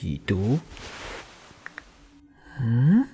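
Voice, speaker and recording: Man acting a soft-hearted, flustered young man, music behind. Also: medium pitch, wildly swooping pitch, extremely slow, exaggerated voice. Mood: grumbling. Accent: Taiwanese. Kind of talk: radio drama acting.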